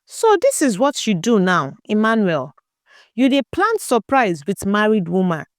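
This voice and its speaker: A woman's voice: mid-range voice, big pitch swings, unhurried, no room sound, clean studio sound. A woman storyteller voicing a jealous, proud, confrontational young girlfriend who slips into Nigerian Pidgin. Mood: accusing.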